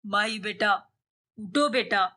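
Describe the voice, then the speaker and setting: A woman voicing a worried middle-aged father who pleads and gently chides. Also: mid-range voice, takes it slowly, pitched below the performer's own voice. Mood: sorrowful.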